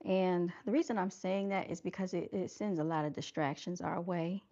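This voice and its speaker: A soft-spoken woman hosting a casual livestream, chatting to her viewers and calling them y'all. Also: on the low side, steady tone, normal speed, highs cut off. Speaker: livestream host. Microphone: camera mic on a livestream.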